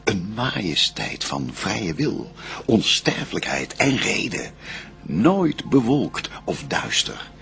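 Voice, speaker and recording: Devout first man and adoring bridegroom, low gravelly voice, lyrical sweeping declamation, solemn verse radio play. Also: huge pitch swings, slow, bright, forceful tone, soft background music, full character voice. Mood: reverent.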